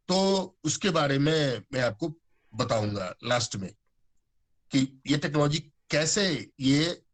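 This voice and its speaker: A man's voice: a little high, a bit bright. A man, giving a conversational talk on a video call, full of fillers and English words. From Bihar, India. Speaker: guest speaker on organic farming. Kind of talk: informal talk to an online audience.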